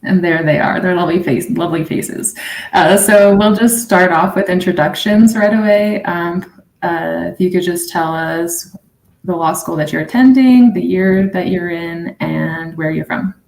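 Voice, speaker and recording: A woman's voice: lowish voice, a little slow, gravelly, darkish tone, roomy sound, poor audio. A woman attorney from New Mexico moderating a live panel in a friendly way, with frequent ums. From Navajo Nation, New Mexico.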